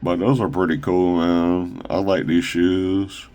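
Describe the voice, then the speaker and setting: A man casually showing off his own shoe designs on a phone camera, rambling with fillers and restarts, his voice a little raspy from talking a long time. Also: deep voice, level tone, darkish tone. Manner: halting, loose, full of fillers and restarts. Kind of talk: casual rambling product showcase.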